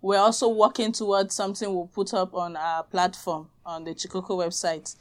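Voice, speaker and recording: Brisk woman guest answering. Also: lowish voice, flat delivery. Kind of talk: casual interview answer.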